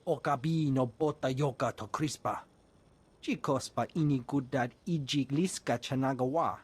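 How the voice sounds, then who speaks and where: Man playing a husky-voiced alien trader. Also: mid-range voice, normal speed, lightly acted. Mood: businesslike. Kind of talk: video game voice acting.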